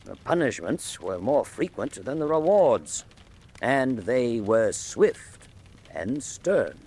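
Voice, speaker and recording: An actor playing the narrator of a Sherlock Holmes style detective mystery, measured and theatrical in his storytelling. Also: mid-range voice, very animated, darkish tone, heavily acted. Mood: grave, dramatic. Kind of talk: dramatic scripted narration.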